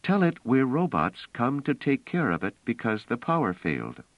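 Audiobook role: gentle, firm young woman, played by a man, lifted well above his natural pitch, emphatic, protective, slightly muffled recording. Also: very animated, moderate pace, no echo, close to an everyday voice. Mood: firm, urgent. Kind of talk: audiobook character voice.

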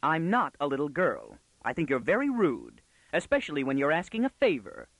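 A man's voice: mid-range voice, huge pitch swings, normal speed, no room sound, voice pushed up. A man voicing a precocious, self-assured young girl in a storybook, prim, bossy and easily indignant.

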